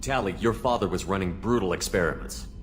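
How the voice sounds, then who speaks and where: A man's voice: low voice, brisk, a little hiss or hum, natural voice. A man playing a human military commander in a video game, speaking in a firm, blunt and authoritative way. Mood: stern.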